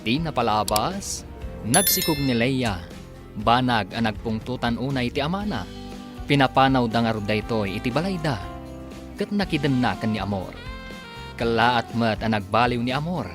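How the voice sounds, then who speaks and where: A man narrating and announcing a radio drama serial in a theatrical, emphatic scripted style. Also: mid-range voice. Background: music with guitar.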